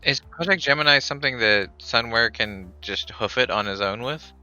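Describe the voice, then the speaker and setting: Lower, bright-voiced male player. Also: normal speed, muffled recording, soft background music. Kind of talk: tabletop game chat.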